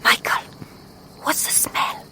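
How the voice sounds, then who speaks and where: A woman playing an anxious, hesitant companion in a radio drama, speaking in a hushed, nervous way. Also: slow pace, in a whisper, close to an everyday voice. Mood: nervous, urgent.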